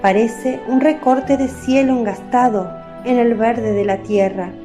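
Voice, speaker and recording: A woman giving a measured, descriptive scripted narration as the narrator of a radio drama. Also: on the high side, steady tone. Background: faint music.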